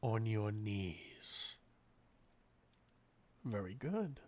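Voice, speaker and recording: A man performs a centuries-old vampire master for an intimate ASMR roleplay. He speaks very slowly and breathily, slipping into whispers, with wide swings in pitch. His manner is sadistic, possessive and condescending, a soft menace throughout. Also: sing-song, exaggerated voice. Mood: commanding.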